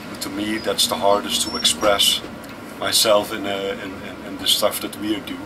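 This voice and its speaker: A man in his thirties whose first language is Dutch, answering interview questions aboard a tour bus in a relaxed, thoughtful way with many fillers. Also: fairly low pitch, normal speed. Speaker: musician guest. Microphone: camera close in front. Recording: distant mic with vehicle noise. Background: air conditioning and vehicle hum.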